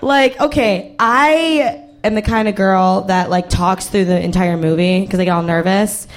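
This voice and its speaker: A young woman performing a stand-up comedy set on stage, brash and profane, with a hoarse voice that carries. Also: medium pitch, unhurried. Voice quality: hoarse, carrying voice. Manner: brash, profane, self-mocking. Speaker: stand-up comic. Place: comedy stage with live audience.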